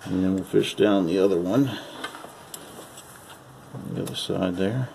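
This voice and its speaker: A man with a low, gravelly voice gives casual, folksy instructions as a home mechanic. He speaks unscripted while working with his hands. There is faint noise behind him, as on an amateur video. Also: a little slow, warm tone. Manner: casual, folksy instruction, calling parts "her". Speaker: amateur mechanic giving a repair demonstration. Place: at a car's open engine bay.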